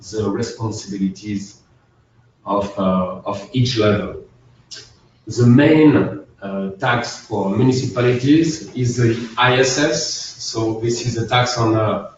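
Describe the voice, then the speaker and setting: A man's voice: fairly low pitch, slight background noise. A man with a French accent, an accountant giving a methodical, structured webinar presentation. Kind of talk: business presentation. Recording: echoey, distant, dull webinar audio.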